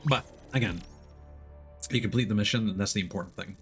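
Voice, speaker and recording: A man chatting casually as he plays a video game on stream, often pausing and restarting mid-thought. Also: on the low side, a bit bright. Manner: chatty, hesitant mid-thought, playful exclamations. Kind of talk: casual gameplay commentary and chat. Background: faint game music.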